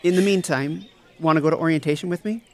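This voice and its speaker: Man playing an earnest, higher-voiced devoted best friend. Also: fast talker, a little mellow, natural voice. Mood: excited. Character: devoted, excitable, caring young male friend. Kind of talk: audio drama acting.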